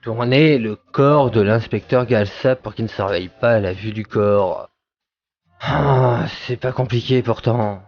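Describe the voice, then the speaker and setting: A man playing an exasperated, self-assured police inspector in a comedic audio drama, with a weary, deadpan delivery. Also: mid-range voice, measured pace, lo-fi sound. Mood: exasperated, bossy. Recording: music under the voice.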